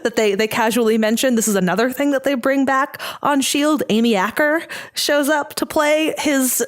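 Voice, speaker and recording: A woman with a somewhat high voice co-hosting a podcast and chatting about films. She is bubbly and giggly, and she trips over her words with repeated restarts. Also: normal speed. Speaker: podcast co-host. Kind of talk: casual chat about films.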